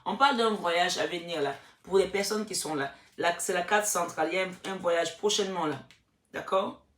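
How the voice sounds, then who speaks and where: A woman's voice: lowish voice, moderate pace, distant mic. A woman hosting a casual tarot card reading, talking straight to her viewers with lots of fillers and restarts. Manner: chatty, many fillers and restarts, addresses viewers directly. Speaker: tarot reader and channel host. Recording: echoey room, voice from off the mic.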